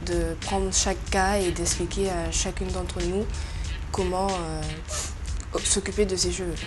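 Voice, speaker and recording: Pageant contestant woman, lowish voice, lots of euh, music under. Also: flat delivery, unhurried. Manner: pleasant, with frequent hesitations. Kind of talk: interview testimony.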